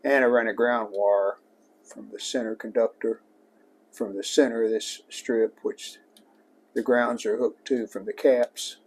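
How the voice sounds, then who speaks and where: A man with a creaky, gravelly voice at a medium pitch walks through a hands-on repair job. He talks casually and without hurry, in short plainspoken phrases as he works. A faint noise sits behind his voice. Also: fairly even intonation, poor audio. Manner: unhurried, plainspoken, talking in short phrases while working. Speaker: host of a vintage electronics repair channel.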